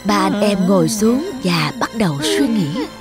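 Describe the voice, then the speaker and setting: A woman's voice: mid-range voice, big pitch swings, a little slow. A woman narrating a scripted fairy tale for children. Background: music.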